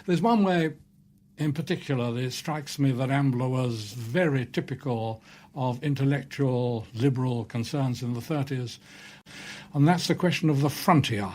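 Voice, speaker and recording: A man speaks as a literary commentator. His voice sits at a middling pitch as he explains his points in interview style. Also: normal speed, a little mellow.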